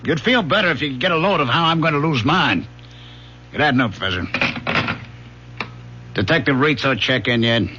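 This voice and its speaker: An actor playing a gruff, sarcastic police lieutenant in a radio drama, brusque and authoritative. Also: male voice, a little high, animated, brisk, pauses often, lightly acted. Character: gruff, sarcastic male police lieutenant, bossing his men. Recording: dull, noisy old radio broadcast.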